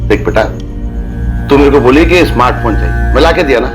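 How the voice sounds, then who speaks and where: A man's voice: mid-range voice, animated, fairly quick, close to an everyday voice. An actor playing a worried middle-aged father in a TV crime drama, colloquial and insistent. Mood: scolding, pleading. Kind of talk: TV crime serial acting. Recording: dramatic music behind the voice.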